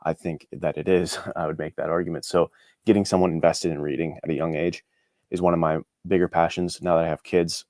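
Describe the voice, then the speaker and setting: A man's voice: low-pitched, flat delivery, fairly quick, a little mellow, no room sound, clean studio sound. A man speaking earnestly and encouragingly as an interview guest, giving reflective answers in a casual, conversational way. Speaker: interview guest, indie author.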